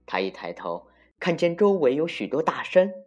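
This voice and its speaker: A woman narrating a folktale for children as an expressive storyteller, deliberate and with dramatic emphasis. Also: deep voice, husky. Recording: muffled lo-fi recording with music.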